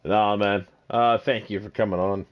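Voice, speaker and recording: A man's voice: fairly low pitch, fairly even intonation, normal speed, lo-fi sound. A man hosting a podcast in loose, profane casual talk, laughing easily. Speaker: podcast host.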